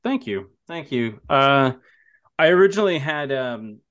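A man, a horror writer who teaches English, chatting on a podcast, laughing easily and telling quick anecdotes. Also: medium pitch, lively intonation, fairly bright tone, no room sound, muffled recording. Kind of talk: casual podcast chat.

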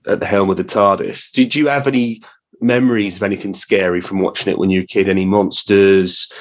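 A British man hosts a podcast interview in a casual way. His voice sits a little low as he chats and puts questions to his guest. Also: fairly even intonation, a little fast.

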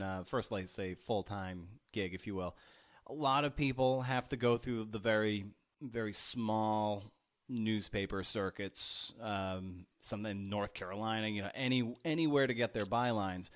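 A man hosting a casual interview, feeling his way haltingly through his questions. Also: fairly low pitch. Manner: halting, rephrasing questions as he goes. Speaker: podcast host, interviewer.

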